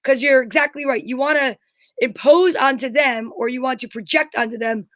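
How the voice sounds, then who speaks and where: A woman with a high voice chats informally as a co-host, close and dry in sound. Her delivery is conversational and agreeable. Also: moderate pace, a bit bright, no room sound. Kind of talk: casual chat, reading out viewer comments.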